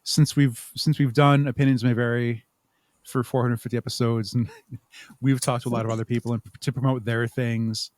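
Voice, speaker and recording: A man answering interview questions conversationally as a podcast guest, thinking aloud in a halting way with repeated words. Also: medium pitch, flat delivery, a little mellow, no echo. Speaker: podcast guest, audio drama writer and producer.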